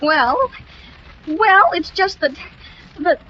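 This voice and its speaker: Casting: lovesick teenage girl, high swooping voice, gushing and melodramatic, old-time radio comedy, muffled recording. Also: animated, takes it slowly, bright, forceful tone, full character voice. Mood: melodramatic regret. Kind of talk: 1940s radio comedy acting.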